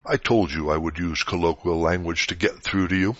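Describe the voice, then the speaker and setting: Low-voiced man reading spiritual teachings. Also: a little fast, fairly bright tone. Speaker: reader of spiritual articles. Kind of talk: scripted spiritual teaching read aloud. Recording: dull, roomy lo-fi recording.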